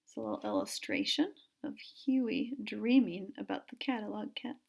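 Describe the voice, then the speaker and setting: A woman's voice: medium pitch, huge pitch swings, normal speed, gravelly, darkish tone. A woman reading a children's story aloud in a narrating style. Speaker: storybook reader.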